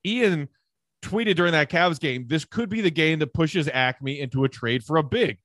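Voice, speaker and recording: A man's voice: on the high side, moderate pace, fairly bright tone, no room sound, studio quality. A man hosting a sports podcast, giving conversational commentary and building reasoned points in long, stressed lists.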